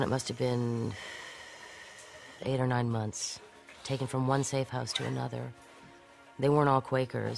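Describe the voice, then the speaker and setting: A woman's voice: rumbling low, vocal fry, darkish tone. An actress playing a defiant woman fugitive who recounts her escape in a wry, conversational, matter-of-fact way in an audio drama.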